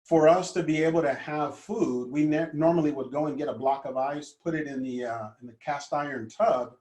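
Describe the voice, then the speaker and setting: A man in his fifties from Honduras, a guest life coach telling his childhood story in an earnest, gentle way with many fillers. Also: fairly high pitch, moderate pace, reverberant. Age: 50s. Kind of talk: conversational storytelling.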